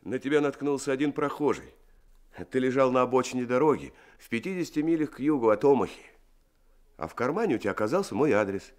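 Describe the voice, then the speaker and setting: A man playing a kind, calm friend who nurses and reassures a sick young man in a radio play. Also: mid-range voice, quick pace, lightly acted. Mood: soothing. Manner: soothing, warmly reassuring. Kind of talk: Soviet radio drama acting.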